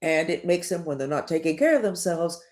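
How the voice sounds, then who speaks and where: Older woman lively rambling monologue. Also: deep voice, a little fast. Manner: digressive, emphatic, lively up-and-down delivery. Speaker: spiritual teacher.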